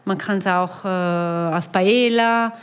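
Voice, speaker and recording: A woman's voice: fairly low pitch, slow pace, clear, resonant tone. A woman from Austria with an Italian tinge to her Austrian accent, a farmer explaining things hesitantly in an interview. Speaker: rice farmer being interviewed.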